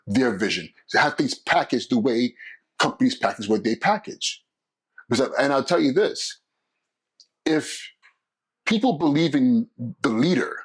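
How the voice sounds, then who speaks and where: Husky-voiced man talking casually. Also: medium pitch. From California. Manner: conversational, many restarts and fillers. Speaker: podcast host and school principal. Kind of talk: casual solo podcast talk.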